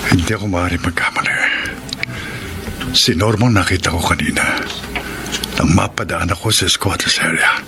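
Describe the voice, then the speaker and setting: Troubled husband, noisy recording. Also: male voice, low-pitched, steady tone. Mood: brooding, certain. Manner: dramatic, halting when flustered. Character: troubled husband and father with a secret. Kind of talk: Filipino radio melodrama acting. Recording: noisy radio drama audio.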